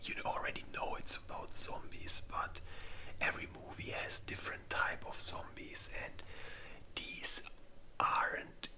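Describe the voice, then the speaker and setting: A breathy, unvoiced whisper in a casual ASMR style, hesitant and informal, giving opinions close to the microphone. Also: unhurried, pushed and bright, rough recording. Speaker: ASMR creator reviewing zombie movies.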